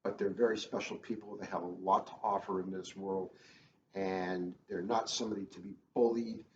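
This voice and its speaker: A man's voice: sits low, level tone, moderate pace, a little mellow. A man who works as a school police officer talks casually and earnestly, repeating phrases for emphasis. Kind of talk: casual, earnest talk to children. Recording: roomy, muffled, faintly noisy.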